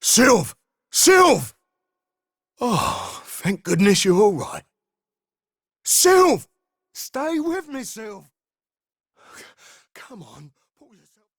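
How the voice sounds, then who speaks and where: An actor voicing a gruff, hearty sailor in a video game cutscene, blunt and full of coarse slang. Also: male voice, high-pitched, huge pitch swings, extremely slow, pauses often, no echo, clean studio sound, heavily acted. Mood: worried. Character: gruff salt-of-the-earth male sailor, adult.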